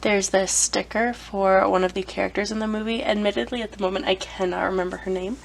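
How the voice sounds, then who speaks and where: Young adult woman, vlogger with a cold; gravelly, chatty, relaxed show-and-tell. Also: mid-range voice, brisk, poor audio. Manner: chatty, with frequent ums and self-corrections. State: has a cold. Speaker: collector showing her anime collection. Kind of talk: casual show-and-tell vlog.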